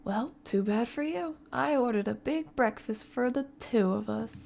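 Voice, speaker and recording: A woman's voice: a little high, normal speed, creaky, a little mellow, a little hiss or hum. A woman voicing a teasing, caring male charmer in his late twenties for a visual novel, in a playful, coaxing way. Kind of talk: visual novel character reading.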